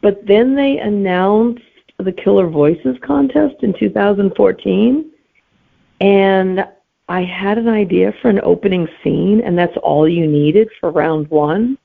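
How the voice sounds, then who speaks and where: Middle-aged woman, creaky, on a phone-like line. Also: mid-range voice, slow. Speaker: guest author and teacher. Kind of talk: casual interview chat. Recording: narrow, tinny phone-like line.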